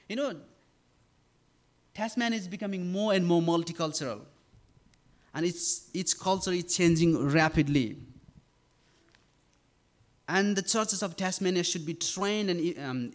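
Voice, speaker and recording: Earnest young man from Nepal. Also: a little high. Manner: earnest, halting with fillers and restarts. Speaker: campus ministry worker. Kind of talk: church talk and sermon.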